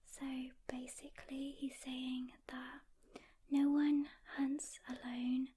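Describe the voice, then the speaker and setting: A woman playing a reluctant loner demon hunter in an ASMR roleplay, soft-spoken and whispering, with a casual, halting delivery. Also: high voice, flat delivery, a little hiss or hum. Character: seasoned female demon hunter, reluctant loner, guarded but caring.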